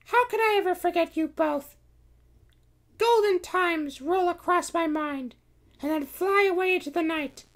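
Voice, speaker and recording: A man voicing a gentle, wistful magical cat princess in a high falsetto, with a distant sound. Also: a little slow, full character voice.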